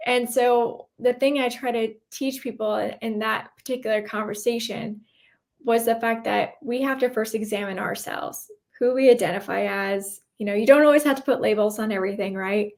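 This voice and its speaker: A woman's voice: on the high side, moderate pace, vocal fry, a little mellow. A woman guest on a podcast, explaining her views in an earnest, conversational way and often checking in with the listener. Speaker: podcast guest, cybersecurity professional. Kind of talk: casual conversational interview answers.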